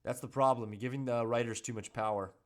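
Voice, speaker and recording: A man chatting casually as a comedy guest, in a halting, mock-earnest way. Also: medium pitch, fairly even intonation. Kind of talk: casual chat.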